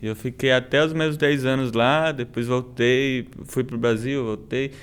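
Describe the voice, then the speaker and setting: Young male student from Cuba, smooth clear voice, relaxed delivery. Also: medium pitch, measured pace. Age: young adult. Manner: relaxed, with small restarts. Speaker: music student.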